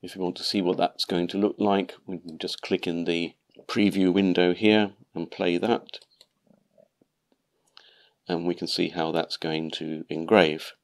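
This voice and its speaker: A man narrating a calm step-by-step tutorial, sounding nasal from a cold. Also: low-pitched, measured pace. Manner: calm, methodical explaining. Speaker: maker channel host.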